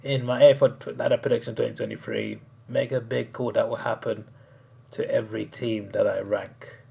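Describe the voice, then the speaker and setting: A man chatting casually on a live stream, hoarse, rambling and laughing often. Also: medium pitch, level tone, normal speed, warm tone.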